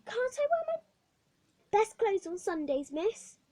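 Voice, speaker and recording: A woman voicing a desperate, defiant little orphan girl, pleading and shrill in a story reading. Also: sing-song, unhurried, mellow, exaggerated voice.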